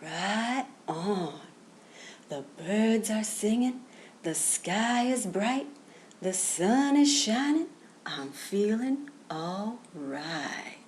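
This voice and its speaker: Cool, helpful picture-book cat, read by a woman; easygoing, slow, melodic delivery. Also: mid-range voice, lively intonation, darkish tone, a little hiss or hum. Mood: content. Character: laid-back, kindly cool cat in a children's story.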